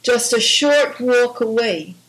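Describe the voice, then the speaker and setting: A woman's voice: fairly high pitch, expressive, takes it slowly, poor audio. Firm, chatty woman from Guyana. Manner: conversational, firm, with small restarts. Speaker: environmental activist. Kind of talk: phone interview.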